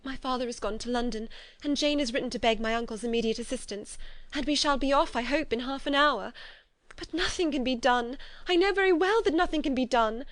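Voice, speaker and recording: A woman's voice: a little high, steady tone, fast, fairly bright tone, no echo, pitched above the performer's own voice, close to an everyday voice. A woman reading the part of a distraught young woman, her voice anguished and pleading as if on the edge of tears. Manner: anguished, pleading, breaking with emotion. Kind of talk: audiobook character voice.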